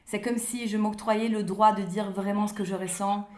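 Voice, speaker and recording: Woman chatting in french, mid pitch, stops and restarts a lot, echoey room. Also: fairly even intonation, normal speed. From Italy. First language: Italian. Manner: hesitant, repeats words, soft laughs. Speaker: guest, playwright. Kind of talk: conversational interview. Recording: roomy echo.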